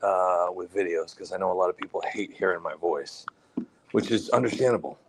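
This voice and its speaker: A man with a lisp, chatting on a live stream from outdoors in the woods in a halting, rambling way full of fillers. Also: deep voice, background noise. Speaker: livestream host. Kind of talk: casual live-stream chat.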